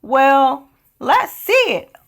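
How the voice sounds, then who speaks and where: A woman's voice: high voice, very animated, extremely slow, fairly bright tone, voice pushed up, exaggerated voice. A woman voicing a brave, outspoken village girl in a storybook, bold, direct and emphatic. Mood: urgent.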